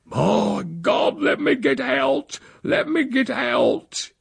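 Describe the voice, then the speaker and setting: Storybook old rustic drunkard: husky, high-pitched bawling, blasphemous and wild. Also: male voice, expressive, slow, dry recording, full character voice. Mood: panicked, bawling. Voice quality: thick voice, strained and husky. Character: devilish, bawling, drunken old country uncle Silas.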